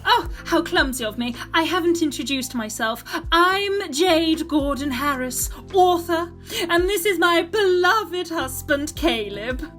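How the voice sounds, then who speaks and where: A woman's voice: very high voice, expressive, unhurried, a bit bright, soft background music, heavily acted. An actress playing a gushing, nervy, theatrical lady novelist in an audio drama, fluttery and melodramatic.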